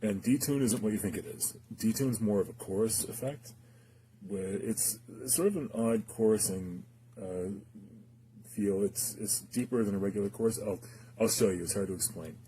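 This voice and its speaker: A man's voice: on the low side, moderate pace, mellow, slight background noise, poor audio. A man giving a casual, unscripted gear demonstration, speaking hesitantly and thinking aloud as he goes. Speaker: gear reviewer demonstrating a guitar effects pedal. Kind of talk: casual, unscripted product demo talk.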